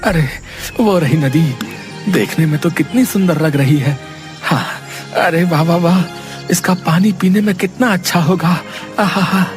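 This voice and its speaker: A tired young shepherd from a cartoon story mutters to himself as he trudges along, voiced by a man. The voice is high for a man. Light music runs underneath. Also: unhurried, lightly acted. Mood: delighted. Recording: background music.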